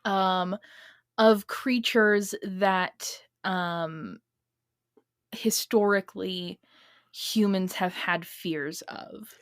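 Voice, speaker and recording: A woman chatting casually as a podcast guest, halting and pausing mid-sentence to pick her words. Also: mid-range voice, dry recording, clean studio sound. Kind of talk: casual chat.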